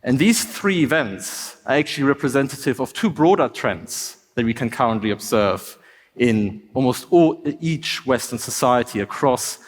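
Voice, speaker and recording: A man from Germany with a German accent tinged with British, giving an academic conference talk in a measured, articulate way with frequent "uh" fillers. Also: mid-range voice, animated. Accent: German with a slight British tinge. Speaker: conference speaker. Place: conference hall. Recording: long hall reverb.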